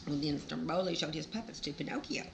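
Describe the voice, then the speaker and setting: A woman's voice: deep voice, fast talker, creaky, noisy background. A woman reading a children's storybook aloud, with folksy, exclaiming asides to the listener. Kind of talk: animated storybook read-aloud with chatty asides.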